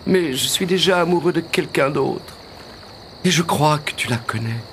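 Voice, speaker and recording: An actor voicing an earnest, affectionate young prince in an animated fairy tale, with a tender, theatrical delivery. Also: male voice, on the high side, moderate pace, slight background noise. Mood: tender, confiding. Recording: music under the dialogue.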